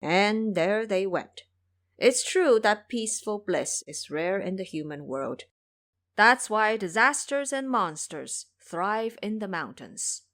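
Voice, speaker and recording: A woman narrating a scripted audio drama in an expressive storybook manner. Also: medium pitch, slow.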